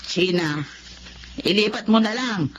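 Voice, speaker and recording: A woman's voice: mid-range voice, slow pace, crowd noise, lightly acted. A woman playing an older, meddling mother-in-law in a radio drama, imperious and scolding in manner. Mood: bossy. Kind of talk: Filipino radio drama acting. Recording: dull, noisy drama audio.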